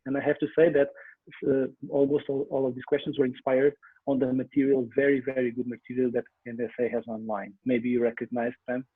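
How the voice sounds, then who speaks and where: Husky man moderating over a tinny line. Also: medium pitch, level tone, brisk, no echo. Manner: friendly, hesitant, with many fillers. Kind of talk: online panel moderation. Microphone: video call. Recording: tinny phone-like call line.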